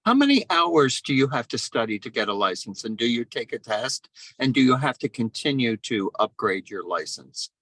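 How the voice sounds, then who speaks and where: A man co-hosting an interview over a video call, asking direct, rapid-fire questions. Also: mid-range voice, big pitch swings, fairly bright tone, no echo, low-quality recording. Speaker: co-host.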